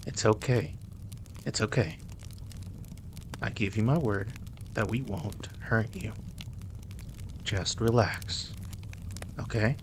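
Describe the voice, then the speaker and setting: A man's voice: lowish voice, takes it slowly, long pauses, a little hiss or hum. A man playing a dominant, teasing mafia boss in a roleplay audio drama, commanding and sometimes slipping into Spanish. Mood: soothing, coaxing. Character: dominant, teasing male mafia boss, indignant yet protective.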